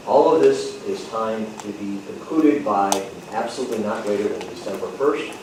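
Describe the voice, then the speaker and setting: Low-voiced man in a very echoey room. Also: a little mellow. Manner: halting, procedural, drawn-out hesitations. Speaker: club officer running the election process. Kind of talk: spoken announcement at a club meeting. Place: indoor club meeting room. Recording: echoey noisy meeting room, far mic.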